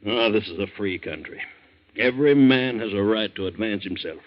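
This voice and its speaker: An actor playing a kindly, humble boat captain with a hesitant, folksy delivery in a 1940s radio drama. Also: male voice, on the low side, normal speed, lightly acted. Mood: modest, warm. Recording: dull 1940s radio recording.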